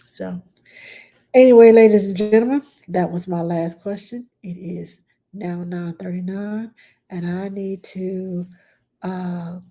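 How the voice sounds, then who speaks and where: A woman's voice: fairly low pitch, steady tone, slow, warm tone. A middle-aged woman with a stuffed-up nose, chatting plainly and bluntly as a nanny answering viewer questions on a live stream.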